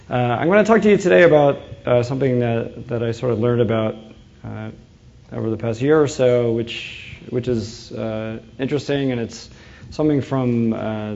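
A man's voice: medium pitch, gravelly, mellow, lo-fi sound, slight background noise. A man from New England with a New England American accent, giving a conference talk with frequent fillers and restarts. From New England, USA. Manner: hesitant, many "uh" fillers and restarts. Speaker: conference speaker, engineering manager.

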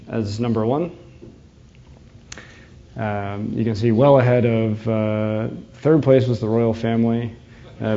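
A man's voice: medium pitch, vocal fry, warm tone, muffled recording. Hesitant New England man giving a talk. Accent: New England American. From New England, USA. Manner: hesitant, many "uh" fillers and restarts. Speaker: conference speaker, engineering manager. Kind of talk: conference talk.

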